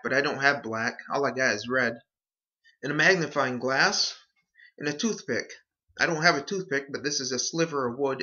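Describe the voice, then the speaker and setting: Male hobbyist narrator, folksy and unhurried, mid-range voice, casual step-by-step delivery, muffled lo-fi home recording. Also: medium pitch, sharp tone. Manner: unhurried, folksy, talking through each step. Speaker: hobbyist demonstrating a repair.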